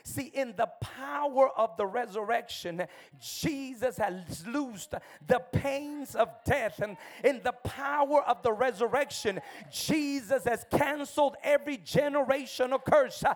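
A man's voice: very high-pitched, measured pace, hardly any pauses. A man born in El Salvador and raised in America, with an American accent, preaching fervently as a guest in a church and calling out for amens. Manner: fervent, calls for amens, shouts at peaks. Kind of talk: energetic church sermon.

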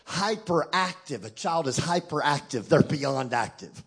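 A man's voice: fairly high pitch, expressive, a little slow, vocal fry, breathy edge. A man with a Texan accent preaching a sermon as guest speaker, emphatic and joking, calling out to his listeners. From Texas. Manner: emphatic, joking, call-and-response with the crowd.

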